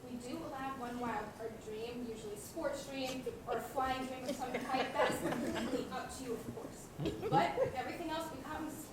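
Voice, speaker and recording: A woman's voice: high-pitched, moderate pace, lightly acted. A young woman playing a prim, businesslike nine-year-old girl who speaks formally in a student stage comedy. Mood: brisk, official. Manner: formal, official, precise. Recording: very echoey hall, far mic, noisy.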